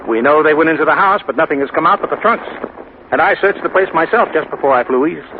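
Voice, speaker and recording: An actor playing an earnest, businesslike federal narcotics agent briefing someone in a 1950s radio drama. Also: male voice, a little high, lively intonation, fast. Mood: earnest, explaining. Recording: noisy, tinny 1950 radio recording.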